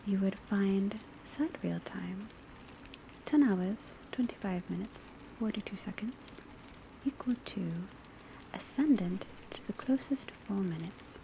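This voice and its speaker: A woman playing a patient, scholarly witch school professor in a soft, breathy ASMR whisper, lecturing in a measured, precise way. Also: mid-range voice. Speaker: teacher in a classroom roleplay. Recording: soft steady background ambience noise. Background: crackling fireplace, pencil writing, rain on rooftop.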